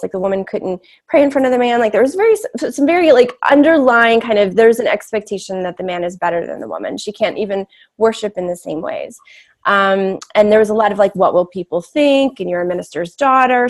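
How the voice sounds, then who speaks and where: Female interview guest, big voice, mid pitch, candid and reflective, conversational delivery. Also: darkish tone.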